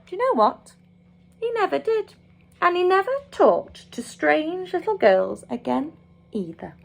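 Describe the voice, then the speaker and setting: A woman teacher reading a children's story, expressive and deliberate, as if to small listeners. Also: extremely high pitch, creaky.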